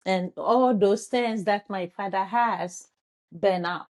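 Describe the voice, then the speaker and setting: A woman with a Ghanaian accent, telling her life story as a guest in an interview, earnest and grateful, with pauses and restarts. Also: medium pitch, a little mellow. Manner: earnest, grateful, slow and halting.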